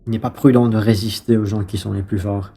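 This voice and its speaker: A man playing a cautious, grumbling bourgeois traveller in an amateur radio play, husky, wry and nosy. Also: lowish voice, fairly even intonation, fairly quick, mellow.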